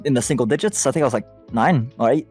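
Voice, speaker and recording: A woman's voice: extremely low pitch, fast, a little mellow, slightly rough, faint music behind. A woman chatting casually as a podcast co-host, in a playful, teasing way.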